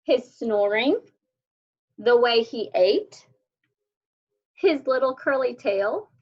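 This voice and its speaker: Slow, animated woman reading a storybook. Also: a little high. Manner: animated, deliberate storytelling delivery with emphasis. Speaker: read-aloud storyteller for children. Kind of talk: expressive children's picture book read-aloud. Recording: dull lo-fi sound.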